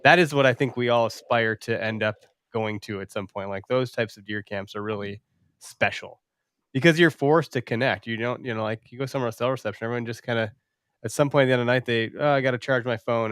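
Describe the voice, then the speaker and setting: A man's voice: mid-range voice, fast, a bit bright, clear, resonant tone, no room sound. A man hosting a podcast talks in a mid-range voice with a dry, close sound. He chats informally and banters, dropping the odd swear word. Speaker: podcast host. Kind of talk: casual chat.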